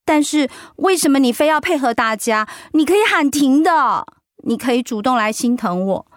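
An actress playing a hurt, outspoken wife recovering from cancer in an emotional, plaintive audio drama performance. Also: female voice, high voice, expressive, moderate pace, no room sound, clean studio sound. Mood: bitter, reproachful.

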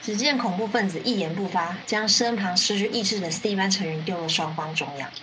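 A woman acting a composed faction leader and narrator in a radio drama, measured and detached in delivery. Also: mid-range voice, background noise, close to an everyday voice. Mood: measured, suspenseful.

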